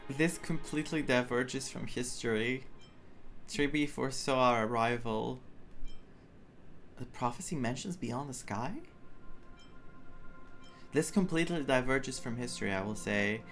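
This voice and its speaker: A man's voice: medium pitch, measured pace, pauses often, a bit bright. A man giving casual playthrough commentary, thinking aloud with joking asides. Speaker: gaming content creator. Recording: game music behind voice.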